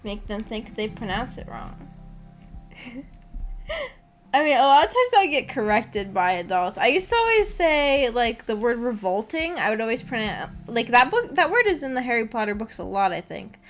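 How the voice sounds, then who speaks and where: A woman's voice: a little high, sing-song, moderate pace. A woman streamer chatting casually with viewers in a giggly, self-deprecating, rambling way. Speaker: VTuber streamer and host. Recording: background music behind the voice. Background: music.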